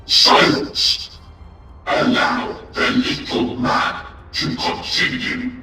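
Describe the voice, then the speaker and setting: Imperious, extremely slow alien ruler. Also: male voice, pushed and bright, full character voice. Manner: imperious, drawn-out commands. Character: commanding, slow-spoken Silurian ruler presiding over court. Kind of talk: sci-fi audio drama acting. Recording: very echoey, distant, faint music and crowd.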